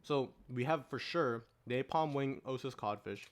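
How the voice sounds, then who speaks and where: A man's voice: medium pitch, unhurried, slight background noise. The medium-voiced man who moderates a friends' podcast, rounding everyone up and moving down the list. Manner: organizing, keeps the group on track. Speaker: podcast host moderating a panel. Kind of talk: casual group discussion.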